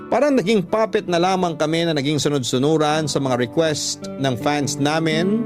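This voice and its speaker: A man's voice: fairly high pitch, normal speed. Filipino male radio storyteller over music. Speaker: storyteller host reading a listener's letter. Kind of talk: radio-style scripted love story narration. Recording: music playing behind.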